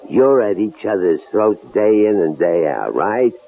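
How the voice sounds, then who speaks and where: An actor playing a menacing, mocking killer in a radio drama, with a gravelly voice and a taunting, needling way of talking. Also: male voice, on the low side, animated, a little slow. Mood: needling, bitter. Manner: taunting, folksy, needling questions. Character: menacing male killer intruder, mocking, coolly philosophical, tough-talking. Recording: tinny, noisy old radio recording.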